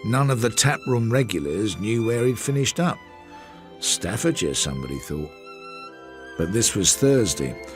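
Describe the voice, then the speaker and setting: A Victorian police sergeant looking back on a case in a radio play. His voice sits fairly low with lively rises and falls, and his delivery is dry, measured and understated. Music plays beneath him. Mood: dry, reflective. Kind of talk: first-person radio drama narration.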